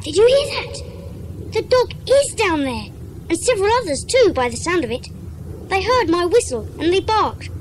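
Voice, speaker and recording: Very high young voice, lively swings, inquisitive schoolboy, excitable, questioning, children's audiobook drama, faint noise. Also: female voice, normal speed, rough recording. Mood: excited.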